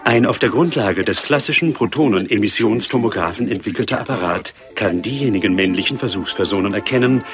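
Actor as male information system, lowish pitch, formal, exact, impersonal, music underneath, radio drama. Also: lightly acted. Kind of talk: scripted explanatory narration in radio drama.

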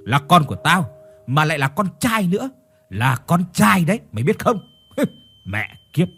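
A man playing a brutal, contemptuous husband in an audio story, loud, coarse and sneering. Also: a little high, very animated, normal speed, creaky, voice pushed up, heavily acted. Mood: gloating. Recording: music behind the voice.

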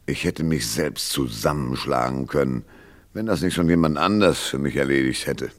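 Battered private eye, low voice, dry sardonic delivery, laconic noir crime-drama style. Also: male voice, normal speed. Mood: brooding, laconic. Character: hard-boiled, battered, wisecracking male private detective narrator. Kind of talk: noir radio crime drama, first-person narration and dialogue.

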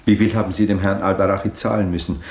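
An actor playing a reserved, melancholy baron in a radio play, speaking with formal detachment. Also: male voice, deep voice, little pitch movement, quick pace, a little mellow, slight background noise. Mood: probing. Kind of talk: naturalistic German radio play acting.

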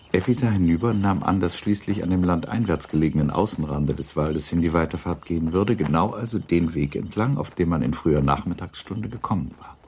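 A man giving a measured, scripted literary narration for a radio drama. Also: extremely low pitch, gravelly, darkish tone, slight background noise.

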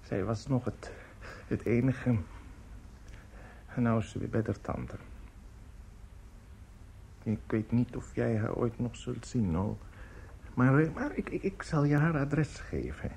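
A man's voice: medium pitch, animated, slow, gravelly, long pauses, a little mellow, a little hiss or hum. An actor playing a bitter middle-aged opera teacher in a radio drama, theatrical and maudlin, stammering and repeating his words. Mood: broken, despondent.

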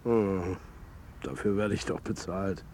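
An actor playing a blunt, bantering football coach in naturalistic radio drama dialogue, talking in a dry, colloquial way. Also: male voice, sits low, fairly even intonation, slow, a little mellow, slight background noise. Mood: subdued. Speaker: character in dialogue.

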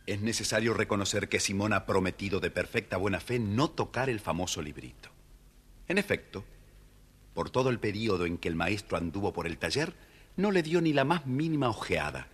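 Deep, animated male storyteller. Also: moderate pace, breathy edge. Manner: theatrical, addressing the listeners. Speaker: storyteller narrator. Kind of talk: scripted children's story narration.

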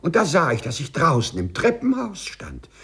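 A man's voice: a little high, huge pitch swings, moderate pace, creaky, a bit bright, breathy edge, full character voice. An actor playing a timid, overly correct male civil servant with hesitant, formal phrasing in a 1950s radio play. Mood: agitated, bewildered.

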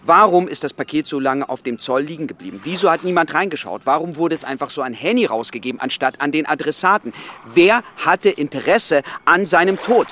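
An actor playing an earnest young male intelligence informant in a German radio drama, speaking deliberately and insistently. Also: fairly high pitch, expressive, a bit bright, a little hiss or hum.

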